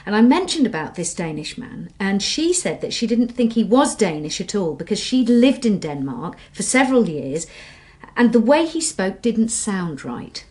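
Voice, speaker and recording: A woman's voice: medium pitch, big pitch swings, normal speed, darkish tone, natural voice. An actress playing an earnest young police assistant in her twenties in a radio drama, hesitant but insistent. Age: 20s.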